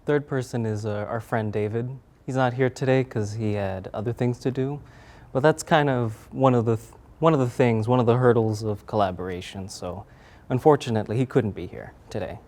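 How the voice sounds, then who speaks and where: A man's voice: mid-range voice, fairly quick, mellow. A young man, a comic co-creator, answering casually in an interview.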